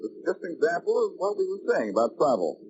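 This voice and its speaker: A man's voice: on the high side, big pitch swings, quick pace. A man playing a wisecracking, unflappable private detective in an old-time radio drama, with wry, glib banter. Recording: noisy, muffled old radio recording.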